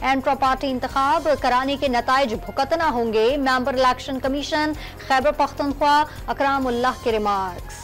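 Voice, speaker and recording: A woman with a Pakistani Urdu accent reading scripted TV news headlines in a brisk, formal manner. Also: sits high, a bit bright. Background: news music bed.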